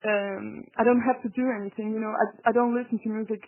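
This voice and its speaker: Woman fan doing an interview over the phone, kinda hesitant, higher voice, thin phone audio. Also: level tone. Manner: hesitant, halting, giggly fan. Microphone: telephone. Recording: tinny band-limited phone line.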